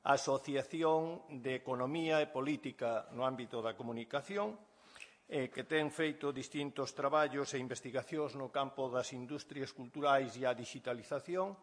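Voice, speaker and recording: A man moderating a conference gives a formal introduction of the next speaker, pausing often on fillers. His voice sits at an ordinary pitch for a man and carries a long reverb, as if recorded in a large hall. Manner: hesitant with frequent fillers.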